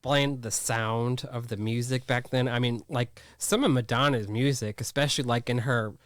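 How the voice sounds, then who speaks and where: A man's voice: medium pitch, fairly even intonation, normal speed, no echo, clean studio sound. A man in his thirties chatting casually in an interview, rambling and restarting often. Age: 30s. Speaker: magazine editor and producer.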